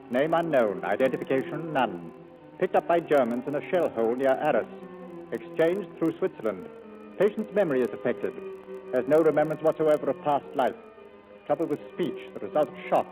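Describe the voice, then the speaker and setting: An actor playing an asylum official reading a record in a clipped, formal, impersonal way, in a 1940s radio drama. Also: male voice, medium pitch, mellow, close to an everyday voice, poor audio. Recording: vintage radio recording, music behind.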